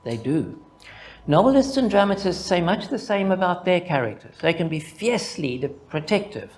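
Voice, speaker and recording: An older man with a Zimbabwean accent, a mathematician reading a prepared conference paper in a deliberate, reflective way, building rhythmic lists. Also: high voice, big pitch swings, darkish tone.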